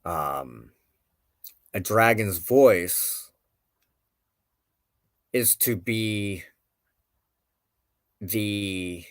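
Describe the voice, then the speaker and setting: A man hosting an informal, reflective spiritual talk, speaking in a halting, contemplative way as he searches for words. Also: lowish voice, no room sound, studio quality. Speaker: host of a spiritual show.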